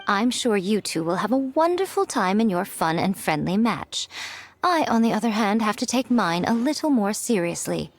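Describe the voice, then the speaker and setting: A woman's voice: medium pitch, sing-song, normal speed. A woman voicing a poised, self-assured, teasing lady in a video game, with refined, wry delivery. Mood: wry, composed. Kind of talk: animated video game voice acting.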